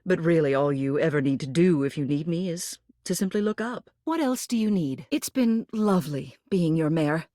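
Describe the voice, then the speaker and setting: A haughty older lady mayor from a video game, with sweeping, animated pitch and a very dry, close sound. She is grand and formal, dropping affected endearments as if she presides over everyone around her. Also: normal speed, few pauses, no echo. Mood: stately, self-assured. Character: haughty, theatrical older lady mayor, a living household object. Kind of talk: scripted video game character lines.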